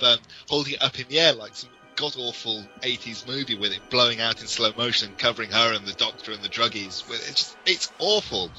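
A man's voice: mid-range voice, pushed and bright, rough recording. A British man co-hosting a podcast, chatting casually in a blunt, dryly opinionated way. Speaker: podcast co-host. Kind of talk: casual fan discussion.

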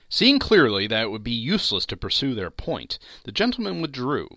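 A man's voice: mid-range voice, sharp tone, no room sound. A man giving a dramatic scripted reading as a storyteller. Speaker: podcast host and storyteller.

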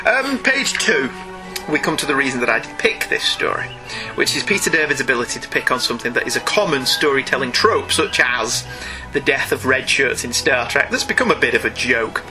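A man's voice: medium pitch, sing-song, fairly quick, rough recording. A man chatting casually as co-host of a comic-book review podcast, opinionated and wry. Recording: music behind the voice. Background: music.

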